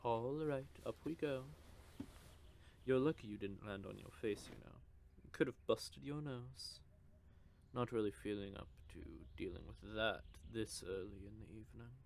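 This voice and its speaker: Man playing a teasing vampire, low gravelly voice, smug drawl, audio roleplay. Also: unhurried, darkish tone. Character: teasing possessive male vampire. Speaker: voice actor.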